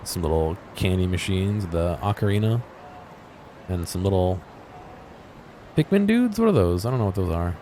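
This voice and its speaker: Male gaming commentator, very low pitch, low-key and musing, unscripted chat over faint background noise. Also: sing-song, moderate pace, pauses often, dark tone. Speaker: gaming video host. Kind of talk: casual off-the-cuff game exploration commentary.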